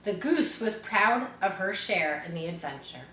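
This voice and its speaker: Bright-voiced woman reading aloud in a roomy space. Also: mid-range voice, sing-song, measured pace. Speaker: storybook reader. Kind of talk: children's read-aloud with casual asides. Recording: roomy echo, faint background noise.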